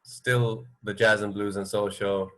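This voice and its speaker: A man's voice: lowish voice, flat delivery, unhurried. A man co-hosting a radio show in casual chat, tentatively repeating and rephrasing as he goes.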